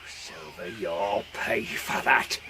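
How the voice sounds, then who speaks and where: An actor playing a hot-headed, mutinous pirate making threats in a radio drama. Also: male voice, low-pitched, slow pace, very noisy. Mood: furious.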